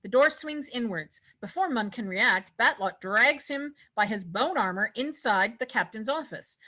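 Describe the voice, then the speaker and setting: Bright-voiced woman narrating a script. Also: a little high, lively intonation, normal speed. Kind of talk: scripted narration of stage directions.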